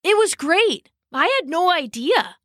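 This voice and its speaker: A woman's voice: extremely high pitch, very animated, unhurried, fairly bright tone, no echo, studio quality, voice pushed up, heavily acted. A woman voicing an eager, insistent young schoolboy in a children's story, emphatic and pleading. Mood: excited.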